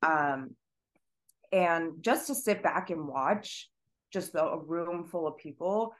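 A woman's voice: deep voice, slow pace. A woman dancer in a podcast conversation who speaks earnestly and searches for her words.

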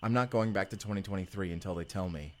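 Man playing a low-voiced, weary time traveler. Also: fast, a little mellow, lightly acted. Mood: grim, determined. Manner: earnest, intense, dramatic. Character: weary, suspicious young male time traveler demanding answers. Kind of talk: immersive sci-fi audio roleplay acting.

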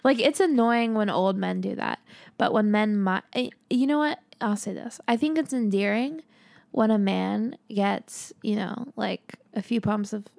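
A young woman co-hosting a casual podcast chat, hoarse from losing her voice, rambling with fillers and giggles. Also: medium pitch, unhurried, a little mellow, no echo. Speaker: podcast co-host.

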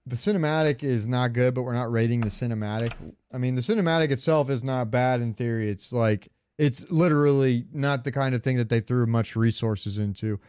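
A man talks as a podcast co-host in a casual, opinionated style. His voice is smooth and clear at a middling pitch, recorded very dry. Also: fairly quick, darkish tone, no echo. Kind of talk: casual chat.

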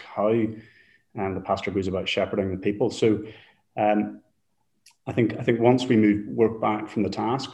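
A man from Ireland, a Hebrew lecturer speaking as a guest, thoughtful and halting with fillers. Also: on the low side, a little mellow. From Northern Ireland. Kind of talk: casual interview talk.

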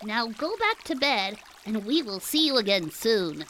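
Woman as mysterious small elder creature, slow, animated intonation, audio theater, faint watery noise. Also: on the high side. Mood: reassuring.

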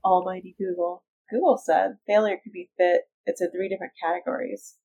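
Woman co-host, allergy-stuffy, wry and relaxed, slightly dull recording. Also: on the low side, brisk, creaky. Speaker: podcast co-host. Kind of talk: casual chat.

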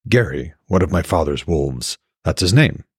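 A man voicing a gentle, reassuring male hero in a fantasy audiobook, with a soft, coaxing manner. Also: rumbling low, expressive, normal speed, breathy edge, no echo, studio quality, pitched below the performer's own voice, heavily acted. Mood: calm, coaxing. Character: gentle, reassuring blind god of Norse fantasy.

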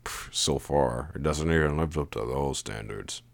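A man voicing a bored, uncaring man in an audio story, speaking in a flat, curt, unenthusiastic way. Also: a bass voice, measured pace, gravelly, dry recording, pitched below the performer's own voice, heavily acted. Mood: bored, dismissive.

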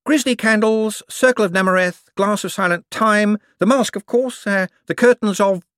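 A man reading an audiobook, voicing a nervous, evasive male wizard bureaucrat who talks in a flustered, hurried way. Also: sits high, a bit bright, clear, resonant tone, no room sound, studio quality, pitched above the performer's own voice. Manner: flustered, hurried, over-explaining. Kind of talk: audiobook character voice.

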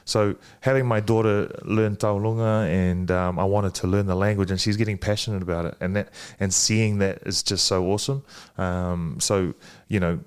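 A man, a guest talking casually with many pauses and restarts. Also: low-pitched, fairly even intonation, clear, resonant tone. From Fiji and New Zealand. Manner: hesitant, many ums and restarts. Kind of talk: casual conversation.

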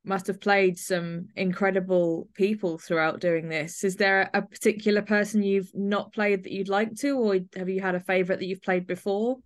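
A woman's voice: medium pitch, fairly even intonation, normal speed, few pauses. A woman hosting a podcast, asking questions in a conversational interview style. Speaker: podcast host.

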